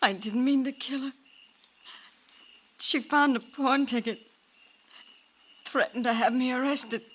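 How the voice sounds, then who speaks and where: An actress playing a desperate, scheming femme fatale in a 1940s radio drama, urgent and pleading. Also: female voice, high-pitched, fairly even intonation, slow, long pauses, fairly bright tone, heavily acted. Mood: weak confession. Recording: dull vintage radio recording.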